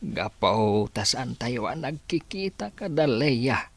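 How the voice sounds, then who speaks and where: A man with an Ilocano accent playing a frail, sorrowful old village father in a radio drama, speaking slowly and pleadingly. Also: medium pitch, big pitch swings, vocal fry, no room sound, full character voice. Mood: sad, weary. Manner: slow, emotional, pleading. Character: frail, sorrowful old village father near death, longing for his daughter.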